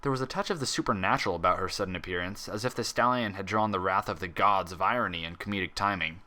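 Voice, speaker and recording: A man's voice: low-pitched, fast talker, bright, forceful tone. A man reading a story aloud as narrator, in a scripted, dramatic style. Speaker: fan fiction reader and narrator.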